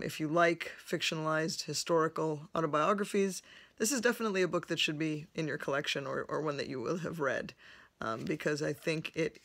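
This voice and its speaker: A woman from Lebanon hosting a book review vlog from her home library, speaking in a thoughtful, measured way with frequent pauses. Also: sits low, vocal fry, fairly bright tone. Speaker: host.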